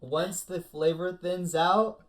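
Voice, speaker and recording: A man's voice: high-pitched, fairly even intonation, slow pace, a bit bright. Man chatting casually in a rambling way. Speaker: podcast co-host. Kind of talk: casual discussion of food anime.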